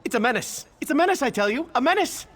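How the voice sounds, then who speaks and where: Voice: high-pitched, expressive, fast talker, fairly bright tone, a little hiss or hum. An actor playing an indignant, aggrieved townsperson in a fantasy game, speaking emphatically. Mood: indignant, outraged. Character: indignant, aggrieved townsperson ranting about a menace. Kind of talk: scripted fantasy game dialogue.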